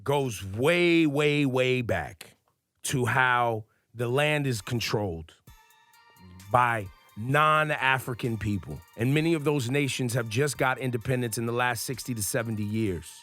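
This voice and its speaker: Radio co-host guy making his case, earnest, asks pointed questions, soft music behind. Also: medium pitch, measured pace. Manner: earnest, argues by posing questions. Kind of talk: casual radio talk.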